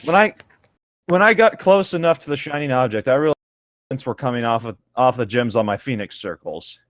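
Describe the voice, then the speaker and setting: A man reading a story aloud casually, playful and expressive, breaking off to comment. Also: medium pitch, brisk, no echo. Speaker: co-host of a comedic fan fiction reading show.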